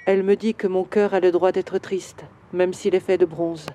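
A woman voicing a grieving male bronze statue in a children's audio story, slow and wistful. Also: lowish voice, steady tone, mellow, a little hiss or hum, close to an everyday voice. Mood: sorrowful.

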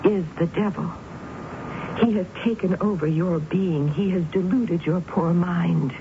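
A woman's voice: on the low side, a little slow, background noise. An actress playing an obsessed, deluded woman in a 1970s radio drama, speaking with solemn, theatrical intensity. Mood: grim, accusing. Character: obsessed, deluded woman narrating her own descent. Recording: dull old radio broadcast with loud music.

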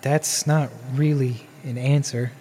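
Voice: mid-range voice, fairly even intonation, slow, warm tone, soft background music, natural voice. An actor playing an anxious, sleepless customer who stammers and hesitates. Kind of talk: audio drama acting.

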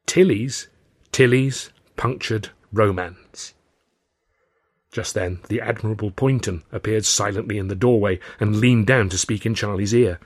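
A man reading his own first-person novel as an audiobook, with a wry, measured storytelling manner. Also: lowish voice, steady tone, husky, no echo, clean studio sound.

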